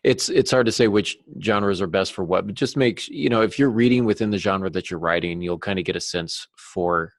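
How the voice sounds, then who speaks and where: A man with a low voice and plenty of vocal fry talks as a podcast co-host. His delivery is casual and conversational. The recording is dry, with hardly any room sound. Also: fairly quick, hardly any pauses, dry recording.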